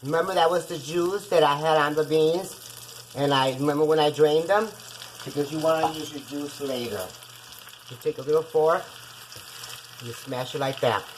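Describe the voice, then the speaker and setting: A woman's voice: low voice, fairly even intonation, normal speed, slight background noise, low-quality recording. A woman from Puerto Rico with a Puerto Rican accent, Spanish being her first language, hosting a casual home cooking show in her kitchen and chatting to her viewers as she goes. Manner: chatty, keeps calling out to viewers as guys.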